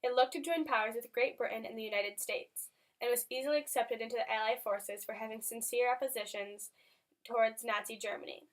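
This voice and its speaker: Woman newsreel narrator with raised pitch. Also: fairly even intonation, a little fast. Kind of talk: scripted newsreel narration, read aloud.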